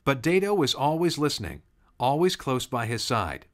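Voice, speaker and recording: A man's voice: medium pitch, big pitch swings, normal speed. A man reading scripted storybook narration of a Christmas tale. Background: music.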